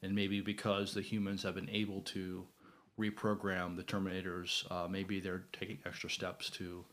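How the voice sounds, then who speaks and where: Man, low pitch, casual podcast discussion, hesitant, repeated words, thinking aloud. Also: monotone, normal speed, fairly bright tone. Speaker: podcast co-host.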